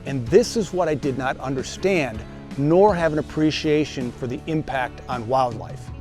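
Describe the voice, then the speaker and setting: A man hosting a gardening show, giving steady, scripted, explanatory narration. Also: mid-range voice, normal speed, soft background music.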